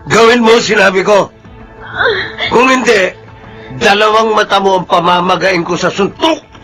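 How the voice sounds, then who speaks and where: An actor playing an abusive, hot-tempered boyfriend in a Filipino radio drama, menacing and domineering. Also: male voice, high voice, a little slow, fairly bright tone, faint music behind.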